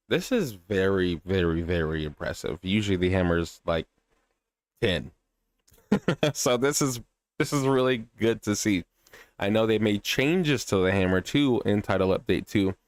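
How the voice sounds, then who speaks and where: A man's voice: lowish voice, dry recording. A man giving casual reaction commentary as a streamer, with short impressed interjections and repeated words for emphasis.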